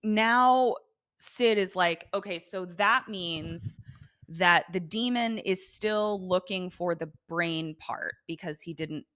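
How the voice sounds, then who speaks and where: Female podcast co-host for a casual TV recap: bright, irreverent, swears freely, dry studio-close sound. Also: lowish voice, slow pace, dry recording. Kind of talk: casual TV episode recap chat.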